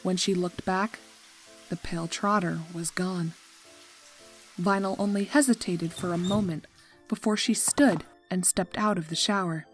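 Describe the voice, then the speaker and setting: A woman's voice: lowish voice, steady tone, unhurried. A woman reading scripted story narration as the narrator. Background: music.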